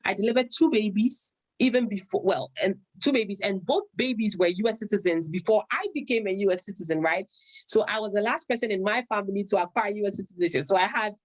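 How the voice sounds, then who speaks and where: A woman's voice: mid-range voice, fast talker, no echo, low-quality recording. A woman with a Ghanaian accent speaks as an immigration attorney over a video call. She thinks aloud, circling back and restarting phrases. The sound is dry and close. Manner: thoughtful, restarts often. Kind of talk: video call panel discussion.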